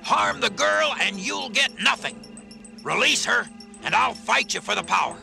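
A gruff, earnest old man speaks in a high, very creaky voice. He is plain-spoken and kindly, with music under this storybook drama. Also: animated, moderate pace, pushed and bright, full character voice. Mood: defiant. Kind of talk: dramatised children's read-along storybook.

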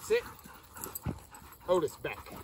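A woman outdoors by a farm pond, calling her dogs and chatting cheerfully. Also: slow pace. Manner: cheerful, bright calls to the dogs. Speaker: farm vlogger, dog owner. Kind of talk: casual talk, calling dogs. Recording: distant phone mic with outdoor noise.

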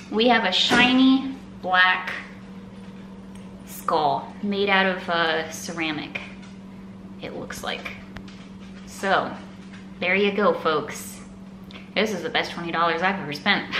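Young American female vlogger, enthusiastic and chatty, bright tone, echoey home room. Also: mid-range voice. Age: young adult. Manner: upbeat, enthusiastic. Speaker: vlog host. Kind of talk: casual chat to viewers. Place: indoors at home, open room with kitchen. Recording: echoey room, faint background noise.

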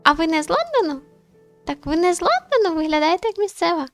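A woman voicing a chatty, eager, insistent young woman in a visual novel, with animated, friendly delivery. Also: very high-pitched, quick pace, no echo, soft background music, pitched above the performer's own voice, exaggerated voice. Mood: delighted.

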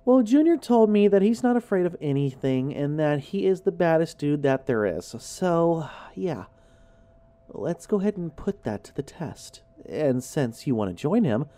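A man's voice: a little high, very animated, normal speed, warm tone, voice pushed up. A man voicing a sarcastic, goading young woman in a horror story, with a teasing manner. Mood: taunting.

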